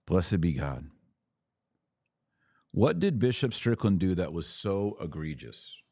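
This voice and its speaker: An American man with a very low voice hosts a live commentary show. He is blunt and opinionated, often repeating a phrase to drive it home. The sound is dry, with hardly any room on it. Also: very animated, slow pace, mellow, no echo. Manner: emphatic, repeats phrases for stress. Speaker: podcast host answering live audience comments.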